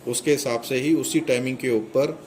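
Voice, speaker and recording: A man's voice: mid-range voice, fairly even intonation, normal speed, darkish tone, slight background noise. A middle-aged man giving a step-by-step product demonstration, explaining plainly with a few hesitations, indoors beside the equipment. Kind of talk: product walkthrough demo.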